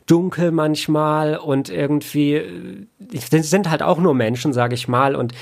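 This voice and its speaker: A man talking casually as an interview guest, explaining his work in a reflective, halting way with repeated words. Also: fairly high pitch, creaky. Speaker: podcast guest, audiobook editor and audio drama producer.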